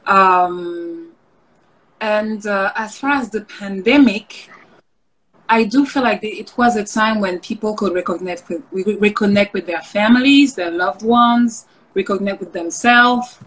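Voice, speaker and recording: A woman's voice: mid-range voice, unhurried, a bit bright, low-quality recording. A woman who grew up in France, speaks casually and reflectively as an interview guest over a video call. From Paris suburbs, France.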